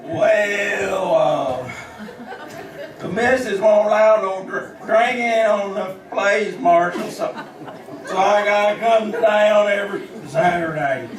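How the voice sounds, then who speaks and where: An actor playing a plaintive backwoods farmer with a halting, stammering Ozark drawl in an old-time radio western. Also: male voice, high-pitched, crowd noise. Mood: grumbling. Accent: Ozark hillbilly. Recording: roomy, distant vintage radio recording.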